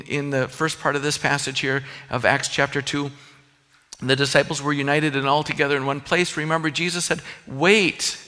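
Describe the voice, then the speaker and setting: Bright-voiced Minnesota-accented preacher. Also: male voice, fairly high pitch, long reverb tail. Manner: earnest, conversational preaching with personal asides. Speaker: pastor. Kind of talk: sermon.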